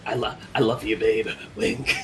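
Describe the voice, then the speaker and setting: A man's voice: on the low side, flat delivery, normal speed. A man chatting on a casual livestream reaction, stammering and repeating words in exasperation. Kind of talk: casual live reaction chat. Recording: trailer music behind voice chat.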